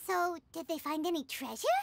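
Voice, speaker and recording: A woman voicing a tiny, squeaky, curious childlike companion girl in a video game. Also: very animated, moderate pace, creaky, slight background noise, exaggerated voice. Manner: inquisitive, cutesy. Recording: game music loud behind.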